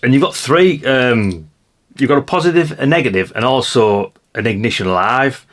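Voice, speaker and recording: A man's voice: medium pitch, lively intonation, moderate pace, a bit bright. A British man giving a casual hands-on show-and-tell as a vlogger, musing aloud in a rambling way with chatty asides to the viewers. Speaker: vlogger showing a haul of vintage CB radios.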